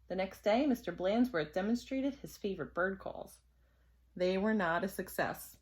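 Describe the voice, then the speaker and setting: A woman narrates a children's story with expressive delivery. Her pitch sits in the middle range, and she shapes the sentences dramatically for young listeners. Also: moderate pace.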